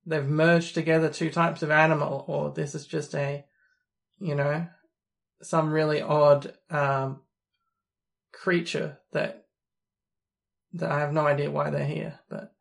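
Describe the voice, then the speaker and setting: A gravelly, creaky speaker with a posh Australian accent that can pass for British. The delivery stays flat and even, rambling and hesitant with lots of ums and restarts. Casual podcast chat. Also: mid-range voice, slow, pauses often. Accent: posh-sounding Australian, sometimes taken for British. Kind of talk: casual chat about a video game.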